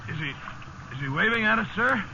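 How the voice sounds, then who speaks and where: A muffled old radio recording with noise carries a deferential sailor. His male voice is high, in the manner of vintage radio drama. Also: animated, normal speed, gravelly. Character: deferential, hopeful sailor at the oars. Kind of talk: 1950s radio drama acting.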